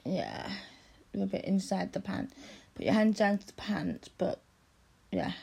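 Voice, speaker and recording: A woman in intimate audio roleplay, speaking softly and coaxingly and often dropping into a whisper. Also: medium pitch, sing-song, creaky, slightly rough. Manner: soft, slow and coaxing, often drops to a whisper.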